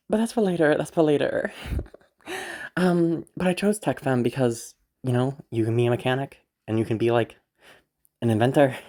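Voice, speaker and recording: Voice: medium pitch, warm tone. A content creator with a congested voice, rambling casually to viewers in an anxious, apologetic, giggly way, with some swearing. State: congested from being sick. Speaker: audio roleplay creator.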